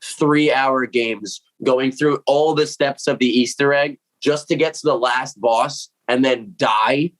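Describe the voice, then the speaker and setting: A man's voice: medium pitch, steady tone, takes it slowly, hardly any pauses, fairly bright tone, low-quality recording. A man in his late twenties, from Puerto Rico, chatting casually and animatedly at home, full of slang and mild swearing, acting out his own reactions. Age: 20s. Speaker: metal vocalist interviewee. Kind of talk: casual chat to camera. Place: home room with cats.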